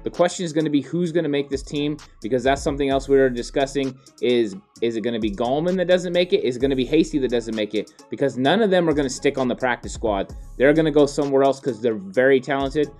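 Steady man breaking down football picks. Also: medium pitch, fast, hardly any pauses, soft background music. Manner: steady, explanatory, many "you know" fillers. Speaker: podcast co-host. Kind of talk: casual sports analysis chat. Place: home studio, indoors. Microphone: studio mic on boom arm.

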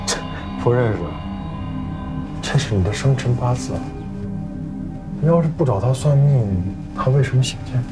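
An actor playing a cocky young gang enforcer who makes casual threats in a taunting, swaggering drawl. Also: male voice, mid-range voice, expressive, normal speed, long pauses, loud music behind the voice, natural voice, low-quality recording. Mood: pressing. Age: young adult. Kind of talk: screen drama acting. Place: furnished room indoors.

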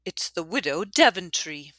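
Brief: woman playing a hulking, jolly bearded traveler, hearty and playful, lively delivery. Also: lowish voice, moderate pace, dry recording, studio quality. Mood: jovial. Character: big, jovial bearded man, booming voice.

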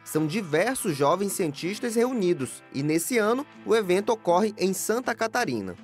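A man reporting in a scripted, measured news voice-over. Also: high-pitched, very animated. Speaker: field reporter. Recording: faint music bed under voice-over.

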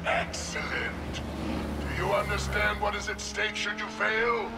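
An actor voicing an imperious, cruel robot villain commander in a video game, with commanding theatrical delivery. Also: male voice, sits high, slow pace, vocal fry, full character voice. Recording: loud music and vehicle sounds behind.

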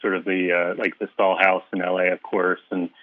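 A guest author from the Philadelphia area chatting in an interview, hesitant with lots of uhs but friendly. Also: male voice, low-pitched, little pitch movement, brisk, noisy background. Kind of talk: casual interview chat. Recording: tinny phone-like line, dry.

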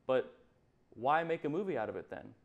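A man's voice: on the high side, lively intonation, brisk, gravelly, muffled recording. Blunt young man ranting on a panel. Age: 20s. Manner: blunt, emphatic, repetitive. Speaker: talk show panellist. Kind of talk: opinionated casual rant. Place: small indoor TV studio.

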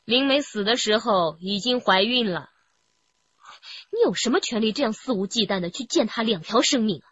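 Indignant accuser, husky and animated. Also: female voice, on the high side, normal speed, muffled recording. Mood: indignant reproach. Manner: reproachful, impassioned. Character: stern, indignant woman accusing a killer. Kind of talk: radio crime drama acting.

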